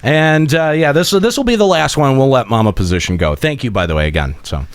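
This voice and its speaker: A man's voice: medium pitch, expressive, fairly quick, clean tone, no echo. A man hosting a podcast, bantering casually with his co-hosts. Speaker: podcast host.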